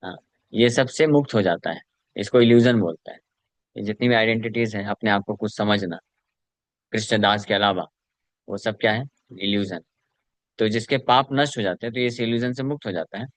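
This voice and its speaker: Low-voiced man giving a scripture talk. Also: no room sound. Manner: explanatory, mixes English terms, recites Sanskrit verses, frequent affirming asides. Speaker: spiritual teacher. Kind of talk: devotional scripture lecture.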